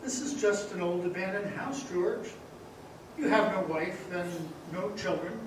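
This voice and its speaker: A man playing a gentle, innocent, very old little angel in a stage radio play, earnest and patient. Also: high voice, unhurried. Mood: patient, solemn. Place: live stage in a large echoing hall. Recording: echoey hall from far off, noisy.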